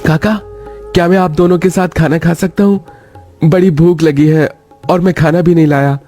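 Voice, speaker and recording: A man's voice: a little high, steady tone, normal speed, warm tone, faint music behind, natural voice. A man playing a polite, earnest young mill labourer in a cartoon story, speaking respectfully. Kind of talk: Hindi cartoon story acting.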